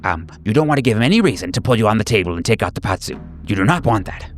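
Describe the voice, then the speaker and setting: A man's voice: lowish voice, animated, racing, faint music behind, close to an everyday voice. A man voicing a nervous, eager male patient in a horror tabletop game, stammering and sounding congested. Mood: fearful, hushed warning. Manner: stammering, repeating himself.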